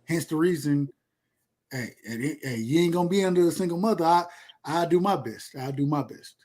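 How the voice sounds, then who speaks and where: A man's voice: a little high, moderate pace, a little mellow. A man in his thirties from rural Georgia with a Southern accent, chatting casually and emphatically in slangy, profane, repetitive phrases. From rural Georgia, USA. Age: 30s. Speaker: podcast speaker. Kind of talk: casual chat.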